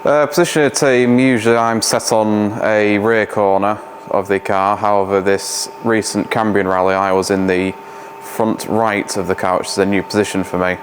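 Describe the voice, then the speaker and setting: A man's voice: on the low side, level tone, normal speed. A nineteen-year-old man who chats casually and hesitates now and then. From East Riding of Yorkshire. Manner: chatty, some hesitations. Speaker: student rally team member. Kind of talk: casual interview answers. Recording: noisy background hiss.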